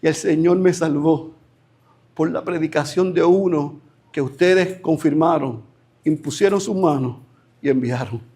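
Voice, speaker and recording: A man preaching a sermon as pastor, acting out imagined conversations with animated emphasis. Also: on the high side, measured pace, breathy edge.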